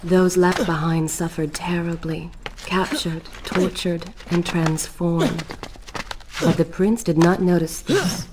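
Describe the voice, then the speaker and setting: A grave female storyteller narrates an ancient tale for a video game. Her voice is a little low and very unhurried, with clear noise behind it. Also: fairly even intonation. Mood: solemn. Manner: grave, measured. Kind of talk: scripted dramatic game narration.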